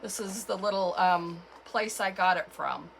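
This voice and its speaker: A woman with a cold and a froggy, hoarse voice, chatting casually as she walks through a craft tutorial at home. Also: medium pitch, a little hiss or hum, rough recording. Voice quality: froggy, gravelly. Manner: chatty, unhurried, with fillers and self-corrections. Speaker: craft channel host.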